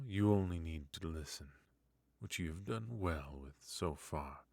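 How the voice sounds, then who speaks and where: Haughty, indulgent royal tutor for fantasy ASMR , played by a man: male, deep, unhurried, formal and quietly bitter. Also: dry recording, heavily acted. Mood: composed, indulgent. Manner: formal, measured, wry, with musing hums and soft chuckles. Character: forgotten adult male royal mentor, resentful, haughty yet indulgent. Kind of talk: intimate ASMR fantasy roleplay.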